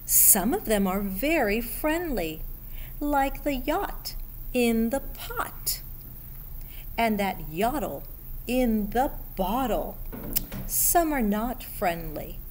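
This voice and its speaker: A middle-aged woman reading a picture book aloud to children in an expressive, deliberate way, pausing as she addresses young listeners. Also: a little high. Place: school library room, indoors. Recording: steady background room noise.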